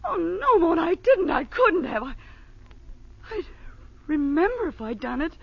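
Casting: innocent young woman, 1940s radio, high faltering voice, swooping with fear, muffled recording. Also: big pitch swings, a little slow, vocal fry, full character voice. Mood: panicked. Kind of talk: 1940s radio crime drama acting.

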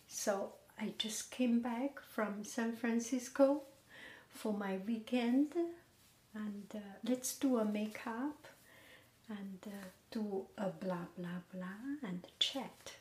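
A woman hosting a podcast, talking softly and gently in a half-whisper, in a relaxed, unhurried chat. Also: mid-range voice. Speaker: podcast host. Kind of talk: soft, slow casual chat. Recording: roomy echo with faint noise.

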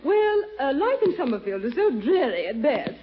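A genteel, affected society lady, high and lilting, on a muffled old broadcast. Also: sing-song, moderate pace, a little hiss or hum. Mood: haughty. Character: snooty high-society matron, refined and prim. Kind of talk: 1940s radio comedy acting.

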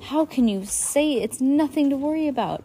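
A flustered middle-aged banker shrieks and babbles in a storybook reading, as a woman reader puts him on. His voice jumps way up into a thin, squeaky register, loud and tumbling over itself. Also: expressive, moderate pace, a little hiss or hum. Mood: incredulous. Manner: panicked high-pitched babbling.

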